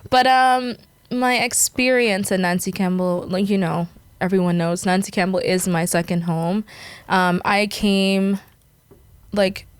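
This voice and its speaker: A woman's voice: medium pitch, fairly even intonation, unhurried, smooth, dry recording. A young woman from Guyana, a guest talking casually in an interview with lots of fillers and frequent laughs. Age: young adult. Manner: chatty, laughs often, many fillers. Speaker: podcast guest.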